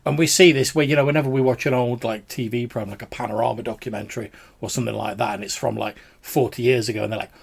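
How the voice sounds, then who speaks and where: Gravelly podcast host, rambling. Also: male voice, mid-range voice, fairly even intonation, quick pace, few pauses. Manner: rambling, many fillers like "sort of". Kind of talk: casual chat answering voicemails.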